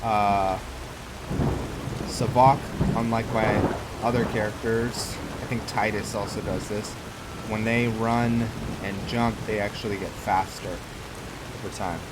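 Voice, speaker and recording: A man giving casual let's play commentary as a gaming host, thinking aloud in an unhurried way. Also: on the low side, fairly even intonation, heavy background noise. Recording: loud rain and thunder game audio behind. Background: game rain and thunderstorm ambience.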